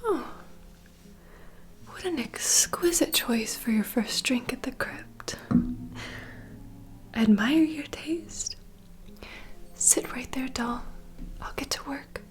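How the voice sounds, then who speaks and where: A woman playing a poised, courteous vampire bartender in a whispered ASMR roleplay, unhurried and softly teasing. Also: high-pitched, animated. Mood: proud, inviting. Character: poised, welcoming female vampire bartender, proud mixologist.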